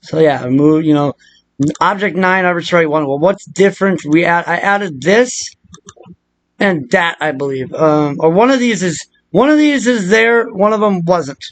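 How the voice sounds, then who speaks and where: A man with a notably high-pitched voice walks through a game editing tutorial. He talks casually and loosely, thinking aloud as he goes, with an irreverent, rambling delivery. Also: moderate pace, no echo. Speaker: tutorial presenter. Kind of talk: casual, improvised screen-share game modding walkthrough.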